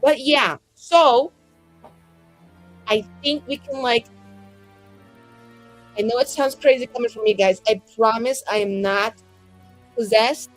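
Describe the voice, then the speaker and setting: A woman's voice: on the high side, takes it slowly, long pauses, faint music behind, rough recording. A woman improvising a flippant, dramatic, self-deprecating adventurer in a tabletop roleplaying game. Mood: defensive, joking.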